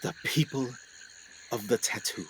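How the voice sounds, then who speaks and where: An actor playing an intense English doctor-explorer in a radio play, with formal, dramatic storytelling. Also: male voice, medium pitch, unhurried, noisy background, lightly acted. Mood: reflective, haunted. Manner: dramatic, formal, literary phrasing.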